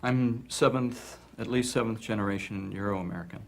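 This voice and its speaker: A man speaking in a group discussion, slow, hesitant and confessional. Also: lowish voice, slight background noise.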